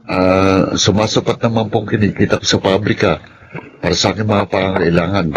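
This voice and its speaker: An actor playing an earnest, respectful young working man in a Filipino drama, speaking politely and with measured care. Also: deep voice, little pitch movement, background noise, close to an everyday voice. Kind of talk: Filipino radio drama acting. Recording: dull lo-fi audio.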